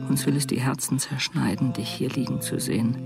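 Actor as solemn, dutiful pupil over music. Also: male voice, mid-range voice, fairly even intonation, creaky, natural voice. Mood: solemn, grieving. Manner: solemn, measured, formal declamation. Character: earnest, stern, dutiful male pupil at a servants' school. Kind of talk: literary radio drama acting.

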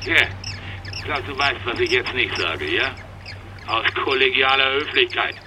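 An actor playing a tactful police colleague on the phone in a radio drama, informal and gently teasing. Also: male voice, medium pitch, expressive, a little slow, close to an everyday voice. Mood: collegial. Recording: loud background noise and ambience.

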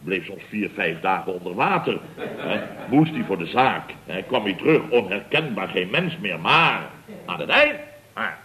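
An actor playing a blustering, self-important building contractor boss in a vintage radio comedy, with a pompous, rambling way of telling things. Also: male voice, low-pitched, big pitch swings, normal speed, bright, forceful tone, exaggerated voice. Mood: animated storytelling. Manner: pompous, rambling, chuckling raconteur with exclamations. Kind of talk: 1960s-70s Dutch radio comedy acting. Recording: dull vintage radio recording.

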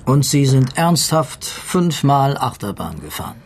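An actor playing a curt, dismissive, sarcastic police officer in a German radio crime drama. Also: male voice, medium pitch, sing-song, unhurried, slight background noise. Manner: dry, dismissive.

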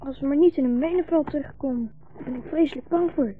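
A woman's voice: high-pitched, quick pace, soft background music, lightly acted. A woman playing an anxious, caring young boy in a 1960s radio drama, careful, polite and helpful. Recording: thin, narrow-band 1960s radio recording.

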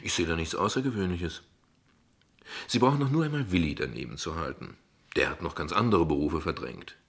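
An evasive father in a radio drama, with a very deep voice. His tone is husky, and he talks in wordy, ironic turns with small hesitations, always deflecting. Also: expressive, a little fast, exaggerated voice. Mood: sardonic, defensive. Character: evasive, ironic, verbose father defending his unconventional family. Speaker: actor in a radio play. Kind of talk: dialogue radio play acting in standard German.

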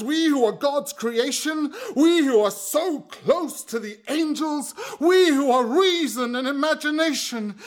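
An actor playing an anguished man haunted by his memories in an audio drama monologue, halting and dramatic, often correcting himself. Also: very high voice, full character voice. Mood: horrified outrage. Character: anguished man recalling witnessed child experiments.

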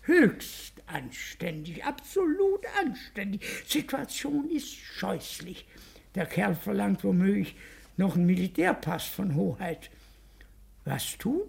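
An actor playing an affronted, snobbish aristocratic baron and adjutant in a radio play, with an affected, haughty, emphatic delivery. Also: male voice, high voice, creaky, darkish tone, breathy edge, exaggerated voice. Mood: scandalised. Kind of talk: German radio play acting.

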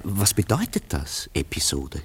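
Dry ironic best friend, low voice, very up and down melody, gently mocking, radio play. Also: male voice, wildly swooping pitch, a little slow, a little hiss or hum, full character voice. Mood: curious, amused. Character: urbane, dryly ironic male friend and confidant. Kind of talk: German radio play acting.

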